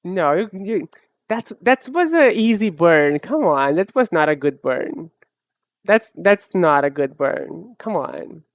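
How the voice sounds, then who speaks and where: A young woman streamer chatting casually while she draws, rambling and self-mocking in a soft, low-key way. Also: animated, slow, no echo, studio quality. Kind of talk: casual chat while drawing live.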